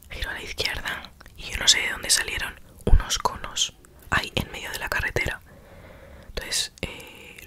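Whispering young woman telling a story. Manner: chatty, self-mocking, acts out quoted exchanges.

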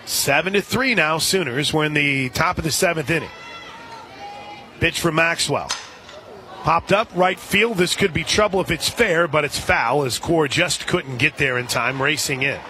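An American man doing live radio play-by-play commentary of a softball game. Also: a little high, moderate pace. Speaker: radio play-by-play sports announcer. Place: outdoor stadium broadcast position. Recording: crowd noise and music behind commentary. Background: stadium crowd and music.

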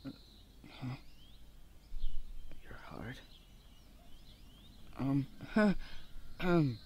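A man's voice: medium pitch, expressive, drawn-out words, darkish tone, slight background noise. A man playing a shy, flustered young male university student in an ASMR roleplay, stammering and speaking in a hesitant, awkward, earnest way. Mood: nervous, shy. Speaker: voice actor. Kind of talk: ASMR boyfriend audio roleplay.

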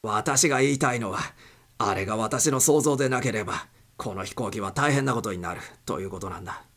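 A man's voice: lowish voice, a little fast, a bit bright. Man acting panicked, desperate male airline passenger. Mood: agitated. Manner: urgent, insistent, pleading.

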